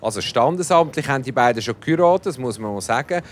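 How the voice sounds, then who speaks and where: A man hosting a live outdoor TV event with a handheld mic, upbeat and brisk, music behind him. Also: mid-range voice, animated. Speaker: TV event host. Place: outdoor festival grounds. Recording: archive broadcast, music behind.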